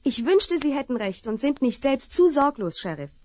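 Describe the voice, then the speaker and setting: A woman's voice: high voice, sing-song, moderate pace, darkish tone, dry recording. An earnest determined young woman. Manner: earnest, urgent.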